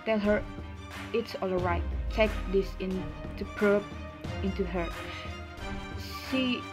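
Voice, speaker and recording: A woman's voice: medium pitch, slow pace, music in the background, lightly acted. Young woman acting a bitter, regretful farm wife. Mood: earnest. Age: young adult. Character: grieving, resentful farm wife, middle-aged. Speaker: amateur student actor. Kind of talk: amateur stage drama acting.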